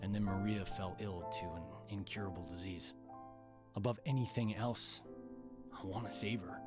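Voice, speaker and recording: A man's voice: lowish voice, steady tone, moderate pace, natural voice. An actor playing a determined, protective young man in a video game, with a solemn, resolute manner. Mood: sorrowful, resolute. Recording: loud game music over dialogue.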